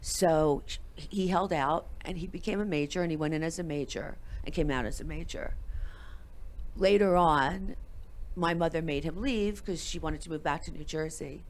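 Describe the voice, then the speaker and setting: A woman who is a guest on a talk show tells her parents' love story with lively acted-out dialogue. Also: sits low, normal speed. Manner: animated storyteller acting out family dialogue. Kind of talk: storytelling in an interview.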